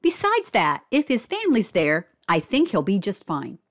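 A carefree jellyfish, read by a woman, scoffs breezily with a very mobile pitch. It is a dismissive storybook creature. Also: wildly swooping pitch, moderate pace. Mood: scoffing.

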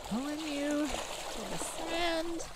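A woman's voice: sits high, takes it slowly. A woman playing a sweet, shy exiled water nymph in an ASMR romance roleplay, speaking hesitantly and in a flustered way. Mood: panicked, flustered. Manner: hesitant, flustered, sighing, talking to herself and listener. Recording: loud ocean noise behind voice. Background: ocean sounds.

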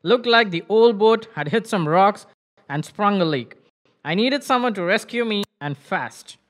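A man voicing an elderly fisherman grandfather in a children's puppet show, with a slow, drawn-out storytelling manner. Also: high voice, expressive.

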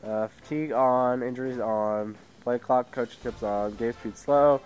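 Sick teen gamer from Idaho, sore throat, rambling home commentary on a headset, mid pitch. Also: male voice, measured pace, a little mellow, faint music behind. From Idaho, USA. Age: teens. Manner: rambling, self-interrupting, reads out settings. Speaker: gaming YouTuber. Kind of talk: casual gameplay commentary. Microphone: headset mic with tangled cords.

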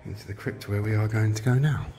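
A man filming a casual walking tour of a church, chatting politely and thinking aloud, sometimes dropping to a hush. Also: lowish voice, steady tone, fast talker, slight background noise. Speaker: vlogger giving a walking tour. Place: large church interior and crypt.

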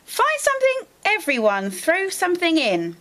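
High, dramatic storybook witch acted by a woman. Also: wildly swooping pitch, normal speed, fairly bright tone, full character voice. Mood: excited. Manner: exclamatory, dramatic. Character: lively, grateful storybook witch, exclaiming loudly.

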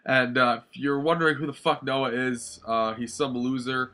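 A man's voice: medium pitch, fairly even intonation, moderate pace, sharp tone. Nasal young man rambling over gameplay. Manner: rambling, swears, many ums and self-corrections. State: nasal from a sinus infection. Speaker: gaming commentator. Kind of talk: casual gameplay commentary. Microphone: voice-over over gameplay footage.

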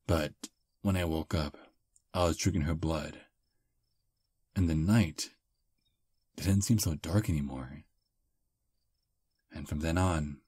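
Guy voicing a chill vampire dude on a date, super low slow voice, kinda self-deprecating, asmr close mic. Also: breathy edge, no room sound, heavily acted. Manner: soft, relaxed, self-deprecating. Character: charming young-looking male vampire on a first date. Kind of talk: ASMR roleplay.

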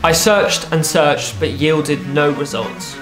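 A young man narrating a personal story in a vlog, lively and wry, with playful asides. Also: fairly high pitch, slow, echoey room, over music, poor audio. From England. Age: young adult. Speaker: vlogger. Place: small room with a desk lamp, indoors.

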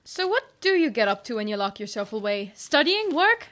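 Gentle, higher-voiced woodland elf woman, acted by a woman. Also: big pitch swings, moderate pace. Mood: friendly, assured. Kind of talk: fantasy audio drama dialogue.

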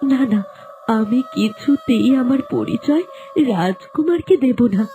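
A woman playing a gentle, anxious young girl turned talking plant in a fairytale cartoon, speaking plaintively. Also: a little high, expressive, takes it slowly, slight background noise. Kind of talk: Bengali fairytale cartoon acting.

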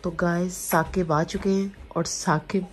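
A woman in her thirties chatting casually to the camera in a home vlog, hoarse from a sore throat. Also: fairly low pitch, steady tone, unhurried, low-quality recording. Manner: chatty, teasing, addresses viewers directly. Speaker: family vlogger. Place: home kitchen and bedroom.

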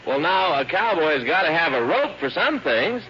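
A man's voice: on the high side, very animated, normal speed, pushed and bright. An American man hosting a 1940s children's radio show in a hearty, folksy showman's manner. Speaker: radio show host and announcer. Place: live radio broadcast before an audience. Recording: dull, noisy 1940s radio recording.

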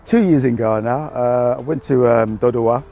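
A middle-aged British man, a fish farmer, explaining his work outdoors in a relaxed, hesitant way. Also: medium pitch, normal speed, a crowd in the background. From United Kingdom. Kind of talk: casual interview answers.

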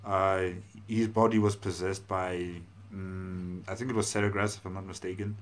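A man in his thirties giving casual, halting, thoughtful commentary as a video host, indoors on a lapel mic. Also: sits low, level tone. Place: small room indoors.

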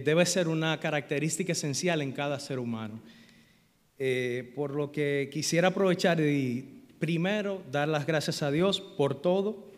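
Middle-aged man speaking in a hall. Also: fairly high pitch. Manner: earnest, grateful, many hesitations. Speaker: event organizer giving a speech. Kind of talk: formal thank-you speech. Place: large auditorium stage at a lectern. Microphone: lectern microphone. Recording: long reverb of a large hall.

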